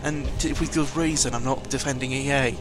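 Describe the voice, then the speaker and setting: A man's voice: medium pitch, steady tone, fairly quick, rough recording. A man talking casually in a rambling, hesitant audio log, grumbling and giving blunt opinions. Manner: hesitant, meandering, opinionated grumbling with mild swearing. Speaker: gaming vlogger.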